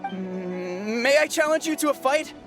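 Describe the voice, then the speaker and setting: A woman's voice: a little high, animated, a little slow, over loud music. A woman playing a nervous, starstruck young warrior in a video game, stammering sheepishly yet boldly. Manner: stammering, hesitant. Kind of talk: video game character voice acting.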